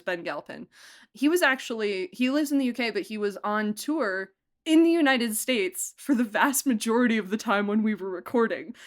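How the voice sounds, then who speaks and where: Chatty, laughing woman producer. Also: on the high side. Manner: chatty, animated, laughs often, uses "like" a lot. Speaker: producer and director of an audio drama. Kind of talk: casual interview conversation.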